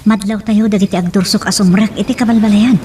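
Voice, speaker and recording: A woman's voice: medium pitch, fairly even intonation, fast talker, mellow, close to an everyday voice. A woman playing an anxious, watchful young village daughter in a radio drama. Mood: alarmed, watchful. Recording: noisy home-studio radio drama.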